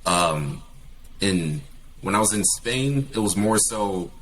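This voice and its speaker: Young adult male guest, very deep voice, hesitant conversational delivery, faint room noise. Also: animated, slow pace, fairly bright tone, rough recording. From California. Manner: hesitant, many fillers and restarts. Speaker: guest, English teacher. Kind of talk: casual interview talk.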